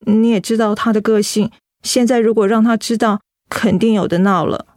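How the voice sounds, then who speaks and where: A woman's voice: mid-range voice, steady tone, normal speed, dry recording, studio quality, lightly acted. An actress playing a young, ailing office woman who hides her troubles, speaking hesitant, apologetic Taiwanese Mandarin in a radio drama. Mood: apologetic, subdued. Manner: hesitant, apologetic, softly evasive.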